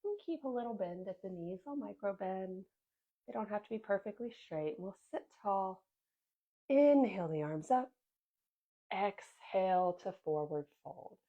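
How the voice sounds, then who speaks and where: A woman yoga instructor calmly cueing a seated class, a little sniffly from a sinus infection. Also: mid-range voice, phone-quality sound. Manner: gentle, calm cueing with soft pauses.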